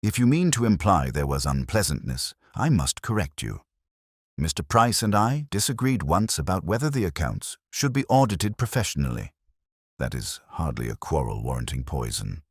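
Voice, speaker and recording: A man's voice: very deep voice, big pitch swings, moderate pace, breathy edge, no room sound, clean studio sound, heavily acted. A man voicing a prim, self-assured middle-aged woman treasurer who speaks in a composed, precise, faintly indignant way.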